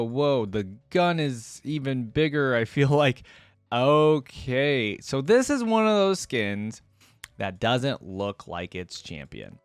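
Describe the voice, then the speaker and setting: A man's voice: mid-range voice, dry recording. A man giving casual reaction commentary as a video host, chatty, exclaiming and easily amused.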